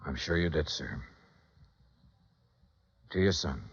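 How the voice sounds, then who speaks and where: A man's voice: extremely low pitch, takes it slowly, full character voice. An actor playing a wry, hard-boiled American insurance investigator who narrates his case in 1950s noir radio style. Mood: reflective. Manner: crisp storytelling, wry. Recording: muffled vintage radio with music behind.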